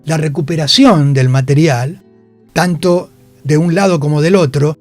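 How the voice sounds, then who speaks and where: Higher-pitched man telling theatre anecdotes. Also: a little slow, darkish tone, no echo, faint music behind. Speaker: interviewed theatre actor and director. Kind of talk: conversational radio interview, anecdotal. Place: radio studio.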